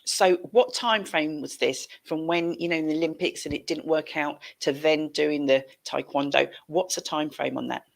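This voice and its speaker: A middle-aged woman with a British accent hosts a casual interview over a headset. Her voice is slightly low and full of gravelly creak. She talks in an effusive, familiar way. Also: steady tone, moderate pace, few pauses. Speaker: show host. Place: room at home, indoors. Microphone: headset on a video call.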